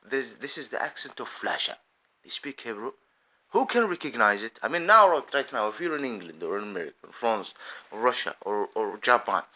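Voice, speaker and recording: A man delivers a fervent, meandering monologue, repeating himself and restarting sentences as he goes. His pitch leaps and falls with lively swings, and his tone is bright and forceful. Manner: impassioned, rambling, many restarts. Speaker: video commentator. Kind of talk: unscripted monologue.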